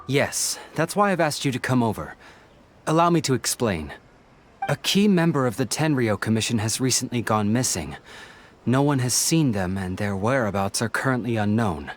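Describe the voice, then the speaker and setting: Man acting an earnest, animated young assistant. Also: mid-range voice, normal speed, a little hiss or hum, close to an everyday voice. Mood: earnest, explaining. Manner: earnest, expressive, pleading. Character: earnest, polite young male detective's assistant, easily exasperated. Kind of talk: English video game voice acting. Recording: background music under the dialogue.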